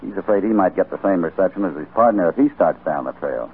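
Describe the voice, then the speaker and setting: An actor playing a shrewd, calm American cowboy hero in a radio western, with a measured, probing manner. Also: male voice, sits low, vocal fry, slightly rough, exaggerated voice. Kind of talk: old-time radio western acting. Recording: muffled, noisy vintage radio recording.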